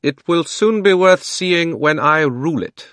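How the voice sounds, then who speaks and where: A cold, imperious witch queen, voiced by a man reading aloud speaks slowly and lets every word land with contempt. The pitch swings widely as she commands, and the sound is dry and close. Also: lively intonation, fairly bright tone, dry recording. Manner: slow, commanding, scornful delivery. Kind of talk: audiobook character voice.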